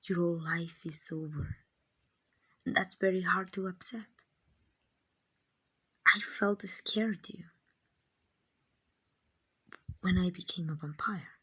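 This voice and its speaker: A woman acting as a kind, gentle female vampire who owns a mansion, in a hushed, breathy, reassuring ASMR roleplay. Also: fairly low pitch, fairly even intonation, a little slow, pauses often, heavily acted. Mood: soothing. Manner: hushed, breathy, tender and reassuring, often near whispering.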